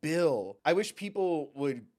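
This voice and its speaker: Expressive, playful man reacting to videos. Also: a little high, slow pace. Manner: playful, expressive, self-interrupting asides. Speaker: host of a reaction series. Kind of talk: casual excited reaction commentary.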